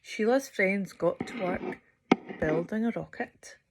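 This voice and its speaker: A woman storyteller reading to children theatrically, drawing out words and dropping into hushed near-whispers. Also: mid-range voice, slow, background noise.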